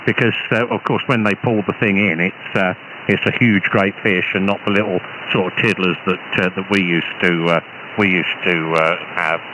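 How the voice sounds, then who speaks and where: A British man, an amateur radio operator, chatting casually over a shortwave radio link in a halting, hesitant way with repeated false starts. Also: deep voice, fairly even intonation. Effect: radio transmission. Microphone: radio transceiver, received over shortwave. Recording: muffled, noisy shortwave ham radio signal.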